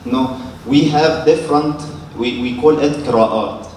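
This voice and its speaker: A man from Egypt with an Egyptian accent, a native Arabic speaker, arguing from the audience in halting, repetitive speech. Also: medium pitch, a little mellow. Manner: very halting, repeats words, seeks confirmation with okay and yes. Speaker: audience member asking a question. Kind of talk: unscripted question and argument from the floor. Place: large echoey lecture room. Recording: very echoey room, distant mic, faint noise.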